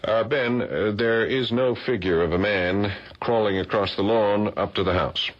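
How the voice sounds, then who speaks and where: A man's voice: lowish voice, normal speed, natural voice. A man playing a puzzled, insistent art professor in a vintage radio drama. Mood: wry, distracted. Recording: dull, lo-fi old radio recording.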